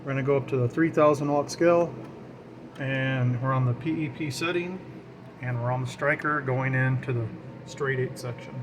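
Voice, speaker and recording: A man's voice: medium pitch, normal speed. A man giving an informal hands-on equipment demonstration, explaining as he goes and partly talking to himself while he works. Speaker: radio hobbyist demonstrating equipment. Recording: clear steady background equipment noise. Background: fan and equipment noise.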